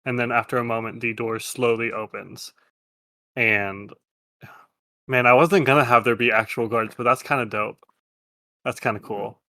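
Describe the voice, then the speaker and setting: A man's voice: mid-range voice, fairly even intonation, moderate pace, long pauses, pushed and bright, no echo. A man improvising casually as game master of a tabletop game, bantering with players.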